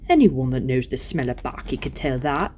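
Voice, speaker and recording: A surly male dwarf from a storybook, acted out by a woman reader. The voice is husky and low, with a gruff, scornful grumble. He is stubborn, suspicious and quick to insult. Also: animated, a little mellow, exaggerated voice. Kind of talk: storybook character reading.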